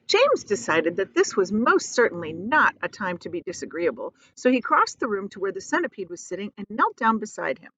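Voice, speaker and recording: A woman's voice: medium pitch, very animated, a little fast, few pauses, bright, forceful tone, no room sound. A woman reading a children's story aloud expressively, like a teacher. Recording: slightly dull, lo-fi audio.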